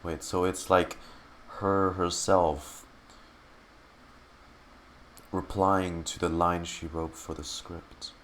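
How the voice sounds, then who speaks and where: Very deep-voiced man streaming a game. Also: flat delivery, slow pace, long pauses, fairly bright tone, a little hiss or hum. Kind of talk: reading game text aloud and reacting.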